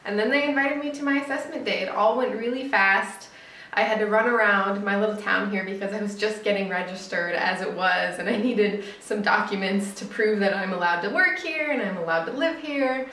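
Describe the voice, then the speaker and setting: A woman's voice: mid-range voice, fairly bright tone. A young woman talking to camera in a casual vlog, chatty and upbeat, often correcting herself mid-sentence. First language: English. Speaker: vlogger. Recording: roomy sound from a distant camera mic.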